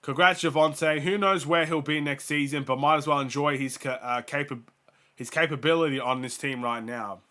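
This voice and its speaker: A man's voice: on the high side, steady tone, moderate pace. Sick young man calling basketball plays. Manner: rattles off stats, gets louder on big plays. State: sick, voice going. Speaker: fan host of a watchalong stream. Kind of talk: casual play-by-play and stats commentary.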